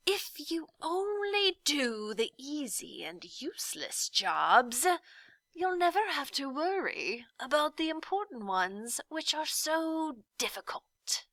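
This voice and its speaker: Woman voicing a slow, sly, villainous male demon. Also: high voice, big pitch swings, no echo, pitched above the performer's own voice, full character voice. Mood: menacing. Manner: drawn-out, snarling, slipping into soft deceitful whispering. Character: villainous faceless male demon of petty tasks, sly and menacing.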